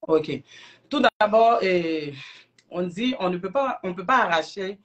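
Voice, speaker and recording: A middle-aged woman with an Ivorian accent, speaking earnestly and deliberately as a guest in a livestream interview. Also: lowish voice, expressive, lo-fi sound. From Ivory Coast. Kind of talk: explanatory interview talk on a livestream.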